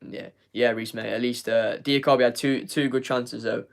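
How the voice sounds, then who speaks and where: Young man, hoarse, losing his voice, flat monotone, rambling fan chat. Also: mid-range voice, normal speed. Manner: rambling, repeats words, many ums. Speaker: fan channel host. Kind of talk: live match review, reading chat.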